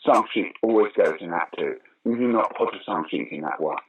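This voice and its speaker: An older man with a low-pitched, rough-edged voice. He talks conversationally as an interview guest, telling anecdotes with dry wit. He states his opinions firmly and repeats phrases for emphasis. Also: fairly quick, narrow, tinny audio. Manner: wry, opinionated, emphatic repetition. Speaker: interview guest, actor, writer and director of pantomime.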